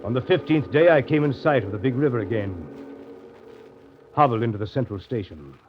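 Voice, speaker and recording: An actor playing a riverboat captain recounting a grim journey in brooding, deliberate 1930s radio drama narration. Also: male voice, medium pitch, lightly acted. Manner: brooding, deliberate, literary storytelling. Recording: dull, lo-fi 1930s radio recording.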